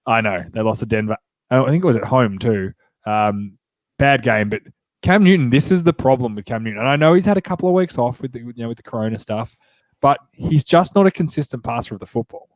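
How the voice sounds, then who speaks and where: An Australian man in his thirties hosts a relaxed sports chat, his voice stuffy and nasal from a cold. He talks in a chatty, upbeat way, full of quick asides. The sound is dry and close, with no room in it. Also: mid-range voice, no echo. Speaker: podcast host.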